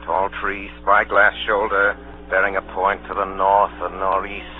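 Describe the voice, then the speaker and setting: An actor playing a sly, scheming old ringleader in a radio drama. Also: male voice, low-pitched, monotone, unhurried, slight background noise. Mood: scheming, grim. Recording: old tinny radio broadcast, music behind.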